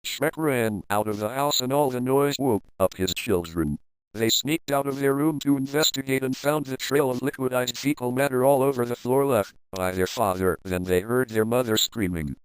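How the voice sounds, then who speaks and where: A man's voice: mid-range voice, moderate pace, clean tone, no room sound, poor audio. A man reading a comic fan fiction story aloud in a playful, crude, dramatic manner.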